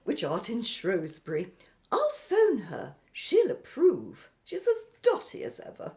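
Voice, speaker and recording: A woman's voice: a little high, wildly swooping pitch, slow, a little mellow, heavily acted. A woman playing a spirited, inquisitive middle-aged British sister in an audio drama, with a theatrical, arch, teasing delivery. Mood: eager, wry.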